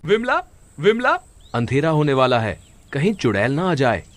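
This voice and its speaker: A man's voice: fairly high pitch, very animated, normal speed. A man playing a caring, anxious young village husband in a cartoon horror story, urging others to safety. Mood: urgent. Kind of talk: Hindi animated horror story acting.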